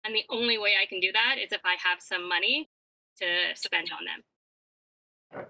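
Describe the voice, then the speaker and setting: A woman with a very bright, forceful voice testifies conversationally as a restaurant owner. She is direct and plain-spoken, and the sound is dry and close. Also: medium pitch, a little slow, vocal fry, no room sound, low-quality recording.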